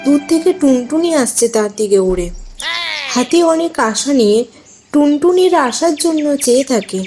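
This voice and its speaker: High-voiced woman narrating a children's story. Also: expressive, moderate pace. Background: loud music and birdsong.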